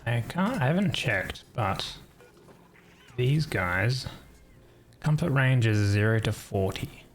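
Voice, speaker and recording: A man, streaming a game and thinking aloud in a relaxed, calm way. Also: medium pitch, takes it slowly, a little hiss or hum. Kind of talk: casual gameplay commentary.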